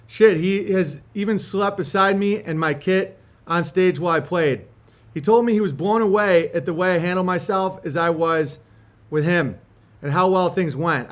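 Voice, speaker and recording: A man with a distinctly high-pitched voice hosts a casual livestream. He moves between reading aloud and chatting in a laid-back, slangy way, with loose swearing. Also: a bit bright. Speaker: host reading a fan letter aloud.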